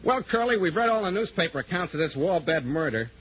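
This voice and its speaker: Sly, animated wisecracking sidekick. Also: male voice, sits high, fast talker, music in the background. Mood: scheming. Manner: sardonic, mock-sincere wisecracks. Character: wisecracking, sly best friend and freeloading houseguest. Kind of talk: 1940s radio comedy acting. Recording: muffled, very noisy 1940s radio recording.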